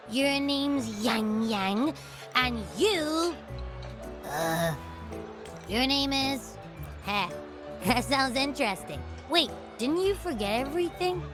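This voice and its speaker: A woman voicing a small, childlike game creature that is cheeky, whiny and always hungry. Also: high voice. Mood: demanding, excited. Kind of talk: animated game dialogue.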